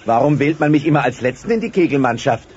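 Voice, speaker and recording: An actor playing a big, naive, mopey tyrannosaur who talks confidingly in a comic audio drama. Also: male voice, medium pitch, animated, fast talker, lo-fi sound, lightly acted. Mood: earnest, mopey. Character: big, dim, gentle, mopey male tyrannosaur.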